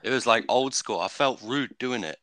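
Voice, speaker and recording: A man's voice: medium pitch, moderate pace, a bit bright, clear, resonant tone, no room sound. A young man on a podcast, telling laid-back stories in casual chat and swearing now and then.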